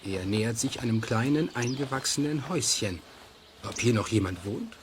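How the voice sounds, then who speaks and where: A man narrating a children's radio play in an expressive, suspenseful storytelling manner. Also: mid-range voice, normal speed, background noise, natural voice.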